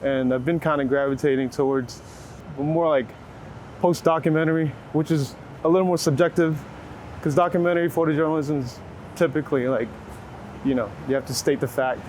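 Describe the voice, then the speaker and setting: A man's voice: a little high, fairly even intonation, brisk, darkish tone. An American man from Texas talking casually and reflectively as a photographer in an interview, with an informal, searching way of putting things. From Waco, Texas, United States. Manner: reflective, informal, hedging with frequent "like". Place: outdoors on a city street. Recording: clear street and traffic noise. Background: urban ambience, passing vehicles.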